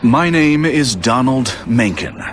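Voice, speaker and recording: Smug asylum director, swooping slow delivery, silky-polite menace, game villain over music. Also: male voice, medium pitch, expressive. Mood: menacing courtesy. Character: smug, eager male institution director greeting a captive guest. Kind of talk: video game cutscene acting.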